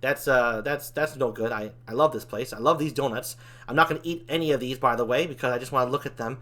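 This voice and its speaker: A man playing an excitable, panicky, foul-mouthed but chummy demon in an improvised comedy role-play, with theatrical, rambling delivery. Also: medium pitch, fast talker, lightly acted. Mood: wistful. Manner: theatrical, rambling, exclamatory, swearing.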